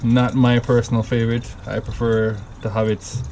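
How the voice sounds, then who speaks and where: A man with a Jamaican accent walks through a homemade recipe in a loose, rambling way, often stopping to correct himself. His voice sits at an ordinary middle pitch. A steady wash of background noise sits under the home recording. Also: moderate pace. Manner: rambling, self-correcting, easygoing. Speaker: home cocktail vlogger. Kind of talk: casual step-by-step recipe demo.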